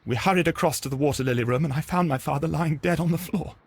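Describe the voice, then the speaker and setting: An actor playing an earnest, flustered young gardener in a video game, with a husky voice and hesitant delivery. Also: male voice, fairly high pitch, quick pace. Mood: earnest, troubled. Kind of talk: scripted game dialogue, giving testimony.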